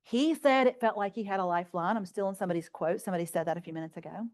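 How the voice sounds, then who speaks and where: A woman's voice: mid-range voice, rapid-fire, a little mellow. A woman presenting at a healthcare conference, telling patient stories and results in an earnest, informal, plain-spoken way. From rural area.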